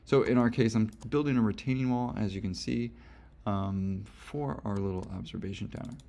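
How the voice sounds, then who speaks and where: A hoarse young man giving a casual, rambling software tutorial, correcting himself often as he goes. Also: lowish voice, normal speed. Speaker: instructor. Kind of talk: screen-recorded software tutorial.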